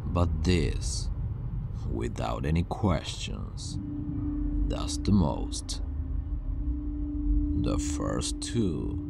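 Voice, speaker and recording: A man playing a calm, self-assured superhuman villain scientist who disdains humanity, speaking in a hushed, grandiose, deliberate way in an ASMR roleplay. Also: very deep voice, vocal fry, heavily acted. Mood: self-assured, boastful. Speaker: ASMR voice actor.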